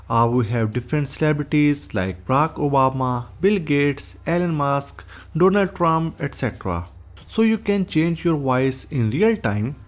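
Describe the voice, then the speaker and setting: A man with a slightly high voice narrates a scripted tech tutorial. His intonation rises and falls in an animated way, and faint music plays behind him. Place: voice-over recording. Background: background music.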